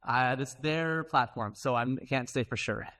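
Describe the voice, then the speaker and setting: A man's voice: medium pitch, measured pace, bright, forceful tone, no echo. A man presenting at a technical conference in an informal, conversational way, with casual asides. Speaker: conference presenter, software developer.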